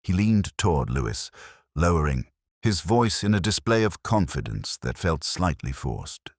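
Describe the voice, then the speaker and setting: A man reading a scripted first-person detective story as its narrator, in a measured, reflective, literary manner. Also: sits low, very animated, no echo, studio quality.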